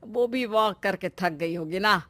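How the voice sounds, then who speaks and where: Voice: medium pitch, measured pace, sharp tone, dry recording. An actor playing a fussy but caring older mother-in-law in a cartoon family drama. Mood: chatty, fussing.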